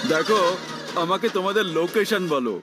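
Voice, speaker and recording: High-voiced flustered control tower man played by a man. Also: moderate pace, natural voice. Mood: urgent. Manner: exasperated, urgent. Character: flustered, exasperated male airport tower controller. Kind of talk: comic cartoon dubbing. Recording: loud music behind the voice.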